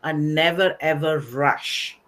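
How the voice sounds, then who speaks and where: A woman presenting a slide-based nursing lecture, explaining in short phrases with pauses in a didactic way. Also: low voice, fairly even intonation, a bit bright. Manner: didactic, recapping, pausing between short phrases. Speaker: webinar presenter, nursing educator.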